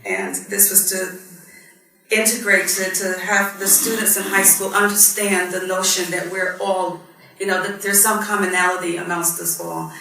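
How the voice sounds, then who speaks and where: A woman's voice: mid-range voice, fairly even intonation, measured pace, creaky, bright, forceful tone. A woman in her fifties, born in Guyana and raised in Barbados, speaking as a judge on a panel. She tells her story in an earnest, reflective way, with frequent ums. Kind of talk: personal story at a panel discussion. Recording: echoey hall, mic at a distance, faint noise.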